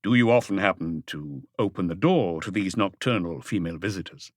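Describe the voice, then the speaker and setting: A male narrator plays a patient, methodical detective in an audiobook mystery. The voice is on the low side, formal and deliberate, with a probing firmness. It sounds dry and close. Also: no echo. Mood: tactful, probing. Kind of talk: audiobook character dialogue.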